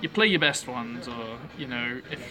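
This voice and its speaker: A man's voice: mid-range voice, normal speed, crowd noise, low-quality recording. A man being interviewed as a band musician, chatting casually in a joking, rambling way. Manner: jokey, rambling.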